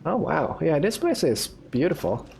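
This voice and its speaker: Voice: mid-range voice, very animated, unhurried, gravelly, darkish tone. A gaming video host giving casual, chatty commentary while playing. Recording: faint background noise.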